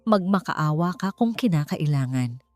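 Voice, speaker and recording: A woman voicing a shrewd older male uncle who gives hard advice in a quiet, measured and firm way. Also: low-pitched, lively intonation, dry recording, faint music behind. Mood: stern.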